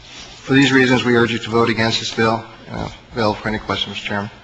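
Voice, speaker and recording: A man's voice: fairly low pitch, fairly even intonation, fast, fairly bright tone, lo-fi sound. A man delivering a prepared statement as a witness at a legislative hearing. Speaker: business chamber representative testifying. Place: legislative committee hearing room. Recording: hearing audio with faint noise.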